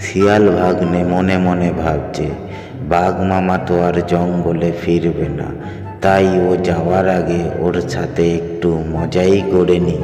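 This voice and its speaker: A man's voice: sits low, level tone, measured pace. A man narrating a scripted children's fairy tale in a storytelling role. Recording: echoey hall, loud music behind.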